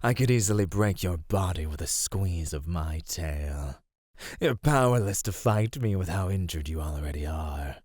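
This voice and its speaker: A man playing an ancient male serpent-like monster in an ASMR roleplay, patient, menacing and teasing, with deliberate, ornate speech. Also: deep voice, animated, darkish tone, no room sound. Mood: threatening, haughty. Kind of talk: ASMR roleplay, scripted acting.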